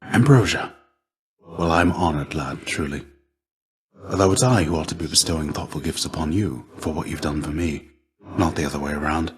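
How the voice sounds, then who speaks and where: A gentle, battle-weary warrior shade from a video game, a mentor with a very low, soft-edged voice. He speaks in measured, courteous phrases with an old-fashioned formality. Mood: warm, humble. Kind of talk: video game character acting.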